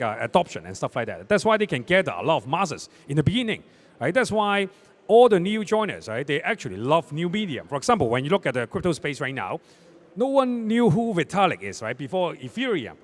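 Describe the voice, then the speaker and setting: Lively man on a panel. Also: a little high. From Hong Kong. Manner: animated, tags statements with "right?". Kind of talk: panel discussion. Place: conference stage.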